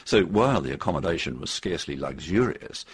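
Older male author for interview: gravelly, deep, anecdotal, conversational, halting yet emphatic. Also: expressive. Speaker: author interviewed about his history book.

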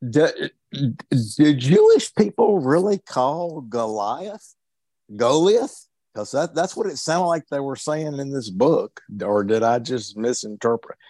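A man talking casually as a member of a book group, haltingly, repeating and stumbling over his words again and again. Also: medium pitch, sing-song, dark tone, dry recording. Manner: halting, heavily stammered repetitions of words.

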